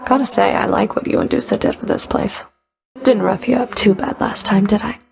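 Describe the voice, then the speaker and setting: A woman's voice: mid-range voice, a little fast, gravelly, a little mellow. A woman playing a stern, sardonic underworld Fury in a video game, speaking in a cool, dry, clipped way. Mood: dry, teasing. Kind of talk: video game character voice acting.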